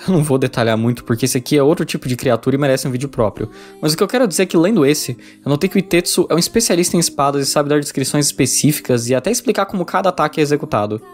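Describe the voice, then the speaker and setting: A Brazilian man delivers a scripted review at a very quick pace. His voice sits a little high for a man, and faint music plays along with it. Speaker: visual novel reviewer. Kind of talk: scripted video review narration.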